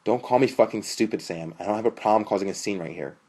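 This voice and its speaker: Man voicing a sulky, curt young girlfriend, husky. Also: deep voice, fairly even intonation, racing, a little mellow. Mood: angry, defiant. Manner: curt, defiant.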